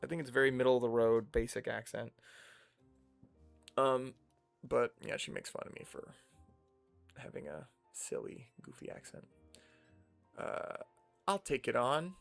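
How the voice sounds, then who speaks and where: A man's voice: medium pitch, measured pace, gravelly, long pauses, soft background music. A young man with a General American accent, rambling casually to himself while playing a video game. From United States. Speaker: streamer. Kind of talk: casual gameplay commentary.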